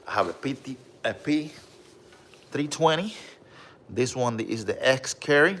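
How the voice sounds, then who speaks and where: A man with a Puerto Rican accent hosting a casual livestream, reading viewer comments and showing off his collection, with a relaxed manner and frequent "bro" and "okay?". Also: medium pitch, measured pace, slight background noise. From Puerto Rico. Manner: relaxed, repeats "bro" and "okay?", many fillers.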